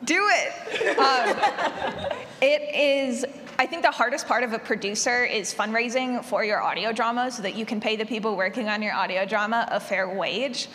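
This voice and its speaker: A woman's voice: fairly high pitch, normal speed, bright, forceful tone. A woman speaking informally and emphatically as a producer on a panel. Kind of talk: casual panel talk. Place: large indoor convention panel room. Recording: reverberant noisy conference room.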